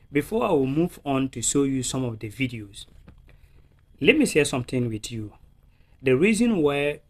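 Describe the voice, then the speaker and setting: Male channel host, earnest and urging, repetitive, filler-heavy, mid-pitched. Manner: earnest, urging, repeats phrases with many fillers. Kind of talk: impassioned political commentary.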